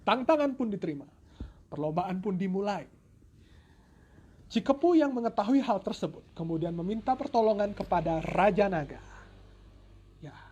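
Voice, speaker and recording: A storyteller with a mid-pitched voice narrates a folk tale in a playful, informal way. The delivery is dramatic and loosely improvised, with asides and self-corrections. Faint noise sits behind the voice in a home recording. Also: animated, normal speed, long pauses, mellow, muffled recording. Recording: noisy home recording with ambient sound.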